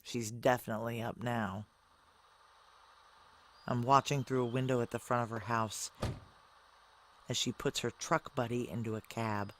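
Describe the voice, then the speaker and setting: A woman's voice: very deep voice, steady tone, moderate pace, long pauses, a bit bright, a little hiss or hum. A woman playing a guarded, brooding woman on the run who narrates a noir audio drama in a terse, wry, confiding way. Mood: watchful.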